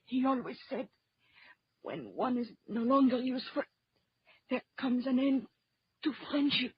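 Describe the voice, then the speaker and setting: An actress playing an intense, dramatic dancer and villain's accomplice in an old-time radio serial, with a husky, breathy voice. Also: female voice, sits high, animated, a little slow, slight background noise. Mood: wounded, bitter. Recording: muffled, dry old radio recording.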